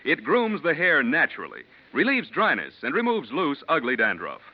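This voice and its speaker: A man's voice: a little high, wildly swooping pitch, normal speed. An American man announcing in 1940s radio advertisement style, with a polished, emphatic sales delivery. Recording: muffled old 1940s radio recording.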